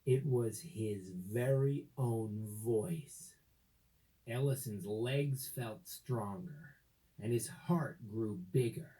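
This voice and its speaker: Slow, expressive woman storyteller with low-toned warmth. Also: rough recording. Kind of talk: expressive children's story reading.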